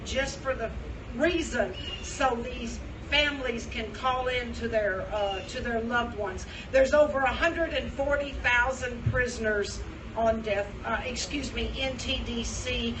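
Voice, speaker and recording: A woman informally announcing at a live event, speaking plainly with a halting delivery. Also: fairly high pitch. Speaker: event presenter, radio prison show contributor. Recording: distant mic, clearly noisy room.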